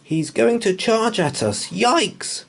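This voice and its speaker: Man putting on an excitable young boy detective, swooping pitch. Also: takes it slowly, gravelly, full character voice. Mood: alarmed. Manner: exclamatory, dramatic.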